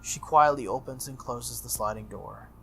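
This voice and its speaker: A man's voice: medium pitch, moderate pace, vocal fry. A man reading visual novel narration aloud as the host of a playthrough. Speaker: gaming channel host. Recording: music under the voice. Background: game music.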